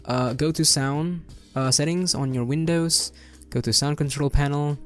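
A young adult man hosting an informal software tutorial, explaining things step by step in a casual way. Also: on the high side, a little slow, faint music behind. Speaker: tutorial host. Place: indoor room at a desk.

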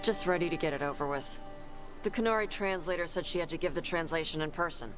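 A woman playing a blunt young horned warrior in a video game, terse and understated, with a gruff manner. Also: fairly low pitch, quick pace, music in the background, lightly acted. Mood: curt.

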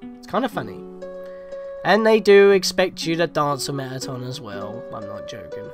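A man's voice: a little high, normal speed, soft background music. A young man hosting a let's play with casual, wry, conversational game commentary.

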